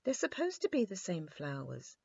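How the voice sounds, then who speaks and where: A woman's voice: lowish voice, wildly swooping pitch, moderate pace, vocal fry, a little mellow, slightly rough, highs cut off, voice pushed up, exaggerated voice. A woman voicing a spirited, frank, cheerful little girl in a children's storybook reading. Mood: insistent.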